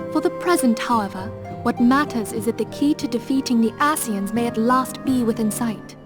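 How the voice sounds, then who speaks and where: An actress as a learned fantasy noblewoman, earnest and resolute. Her voice sits fairly high, and she speaks in measured, archaic phrases. Music plays clearly underneath, as in a game cutscene. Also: close to an everyday voice. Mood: earnest, hopeful. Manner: formal, measured, archaic diction. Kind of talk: video game cutscene acting. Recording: orchestral music behind the voice. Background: background music.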